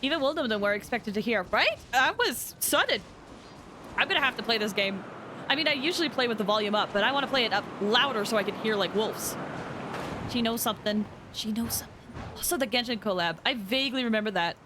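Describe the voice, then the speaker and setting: A woman live-streaming a game, giving casual, chatty commentary and reacting out loud. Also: a little high, animated, fast, slight background noise.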